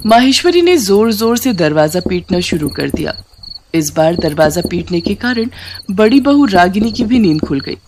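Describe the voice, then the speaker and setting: A woman's voice: mid-range voice, very animated, moderate pace, a little mellow, slight background noise. A woman narrating a scripted story as a storyteller.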